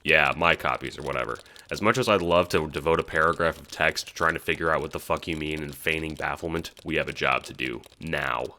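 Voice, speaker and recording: Audio drama cool kid gamer, male actor: slangy, profane, deadpan, rock-bottom pitch, forceful tone. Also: brisk, hardly any pauses, heavily acted. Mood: urgent sarcasm. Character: cool-guy young gamer, sweary, sardonic, easily rattled.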